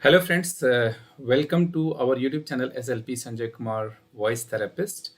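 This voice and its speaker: A man with an Indian accent hosting a live interview as a voice therapist, speaking in halting, formal phrases. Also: mid-range voice. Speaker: host, voice therapist. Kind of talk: live video interview, explaining.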